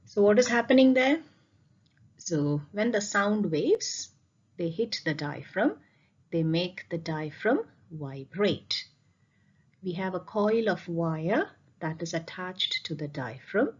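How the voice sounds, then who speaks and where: A woman with a slightly low, slightly husky voice teaches a science lesson over slides. She explains step by step, repeats the key points and asks rhetorical questions. The recording is dull and lo-fi. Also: expressive, slow pace. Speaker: physics teacher.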